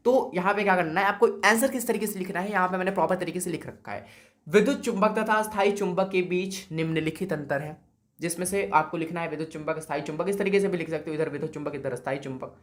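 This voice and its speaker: A man's voice: sits high, fast, fairly bright tone. A man with a sore throat, teaching physics exam answers in a chatty way, often checking in with students and calling them brother. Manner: chatty, repeats "okay" and calls students "brother". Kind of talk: exam-prep lesson.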